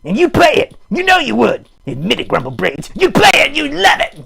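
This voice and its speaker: A man voicing a chatty, goofy puppet who hosts a live show, rambling playfully in a raspy, croaky voice. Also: very high voice, huge pitch swings, normal speed, sharp tone, slight background noise, heavily acted. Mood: teasing. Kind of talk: improvised comic rambling to live chat.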